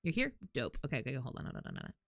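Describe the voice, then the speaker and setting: A woman's voice: very deep voice, huge pitch swings, very fast, vocal fry, a bit bright, no echo, clean studio sound. A woman streaming a game, giving casual, reactive commentary in informal, sweary speech.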